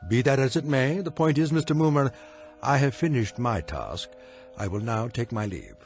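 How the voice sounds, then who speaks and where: An actor playing a proud, dignified Elizabethan playwright in a radio drama, speaking in formal, archaic, theatrical phrases. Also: male voice, mid-range voice, lively intonation, normal speed, soft background music, close to an everyday voice. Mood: aggrieved. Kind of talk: comedic radio drama acting.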